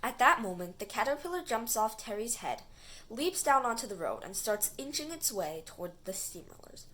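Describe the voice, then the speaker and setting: High, animated woman reading a children's story. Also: normal speed. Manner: animated, dramatic dialogue. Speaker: storybook reader. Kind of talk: expressive read-aloud of a children's book.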